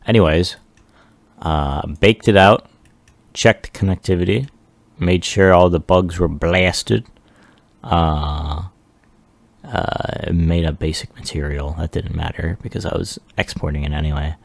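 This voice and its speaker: Low, creaky-voiced man, slow and offhand. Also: no echo. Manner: offhand, self-deprecating, thinking aloud. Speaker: 3D artist presenting a project. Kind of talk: casual software demo walkthrough.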